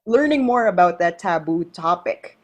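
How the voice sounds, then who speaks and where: A woman speaking as a panelist, choosing her words slowly with many ums. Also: on the low side, lively intonation, lo-fi sound. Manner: hesitant, many ums, deliberate. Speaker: dominatrix panelist. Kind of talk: casual panel discussion.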